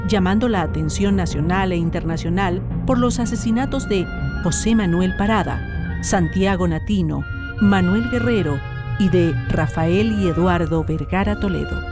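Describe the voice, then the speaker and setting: A woman with a Chilean accent reading solemn scripted narration for a radio documentary drama. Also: lowish voice. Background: music.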